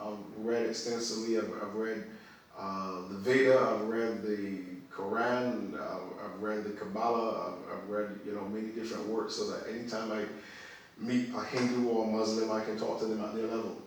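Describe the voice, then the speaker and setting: A man's voice: fairly low pitch, steady tone, normal speed, a little hiss or hum. Echoey man teaching emphatically. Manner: emphatic, repeats phrases for effect. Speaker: religious teacher, guest apostle. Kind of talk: teaching conversation. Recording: very echoey room, far from mic.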